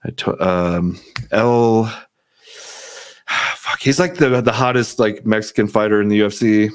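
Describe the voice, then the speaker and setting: A man with a lowish voice chats casually as host. He rambles, swears freely and cracks jokes, often trailing off mid-thought. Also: slow pace, clean tone. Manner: rambling, profane, jokey. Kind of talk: casual chat.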